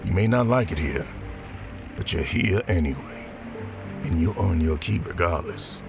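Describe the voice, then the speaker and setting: An actor playing a gruff, commanding pirate sailor with a terse, measured manner in an audio drama. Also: male voice, sits low, animated, music in the background, exaggerated voice. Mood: stern, advising.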